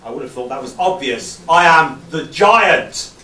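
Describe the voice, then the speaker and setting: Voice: medium pitch, expressive, measured pace, a crowd in the background, lightly acted. A boastful, hapless pantomime giant, played by an amateur actor on stage. The voice is distant and echoey, with a noisy audience around it. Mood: cheeky, hapless. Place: theatre or hall stage with audience. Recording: echoey hall, distant mic, audience noise.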